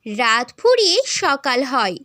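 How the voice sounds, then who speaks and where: High, bright woman storyteller. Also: big pitch swings, measured pace, dry recording, studio quality. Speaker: narrator. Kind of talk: scripted storybook narration.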